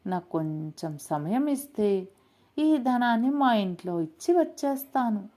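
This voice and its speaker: A wary, clever village man from a storybook, voiced by a woman. He pleads and bargains politely at a slow pace, with a soft, mellow tone. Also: a little high, very animated. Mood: pleading. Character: frightened but quick-witted village man, pleading and bargaining.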